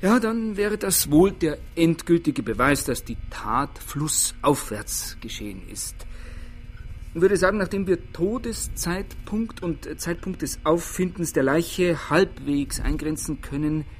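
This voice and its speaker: An actor playing an officious police chief who leads a murder investigation in formal, pedantic, bureaucratic phrasing. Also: male voice, a little high, expressive, unhurried, faint music behind. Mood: formal, brisk. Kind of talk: naturalistic radio drama acting.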